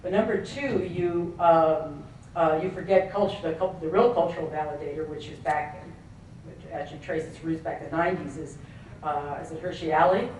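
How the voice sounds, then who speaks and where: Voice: medium pitch, moderate pace, a little mellow, a little hiss or hum. Professor speaking up from the audience, very echoey. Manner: earnest, rambling with asides. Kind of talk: conference audience question. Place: conference hall. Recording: very echoey hall from far off.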